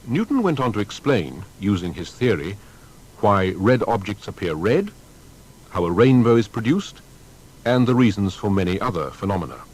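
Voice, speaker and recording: Casting: male documentary narrator, fairly low husky voice, dramatic and emphatic. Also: expressive, moderate pace, darkish tone, rough recording.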